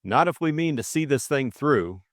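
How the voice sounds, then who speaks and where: A man's voice: mid-range voice, expressive, normal speed, no room sound, clean studio sound, pitched above the performer's own voice, natural voice. A man voicing a hot-headed, loyal young upper-class Englishman in grumbling, earnest audiobook dialogue. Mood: keen, matter-of-fact.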